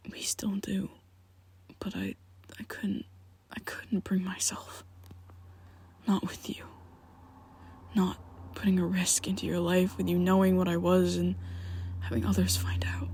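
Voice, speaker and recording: A man playing a gravelly whispering vampire. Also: sits high, level tone, a little hiss or hum. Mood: hushed confessional. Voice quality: soft close whispering. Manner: halting, confessional, with restarts. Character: young adult male vampire, guilt-ridden protective best friend. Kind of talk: ASMR roleplay audio.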